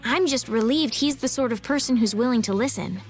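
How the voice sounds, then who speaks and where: A woman voicing a gentle, anxious, well-bred young lady in an anime video game, polite and earnest. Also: on the high side, fairly quick, lightly acted. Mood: worried, earnest. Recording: background music behind the voice.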